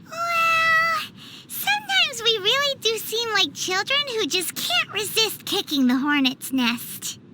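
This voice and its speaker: A woman voicing a tiny, childlike, cheerful and greedy fairy companion in a video game, squeaky and exaggeratedly cutesy. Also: big pitch swings, slow, sharp tone, slight background noise, heavily acted. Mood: chatty, explaining. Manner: refers to self in third person, exaggerated cutesy delivery.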